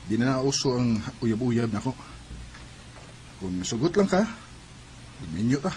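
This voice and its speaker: An actor playing an earnest grown suitor in a radio drama, with a Cebuano Filipino accent. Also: male voice, mid-range voice, very animated, unhurried, background noise. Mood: earnest, courting. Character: earnest male suitor, older than his sweetheart.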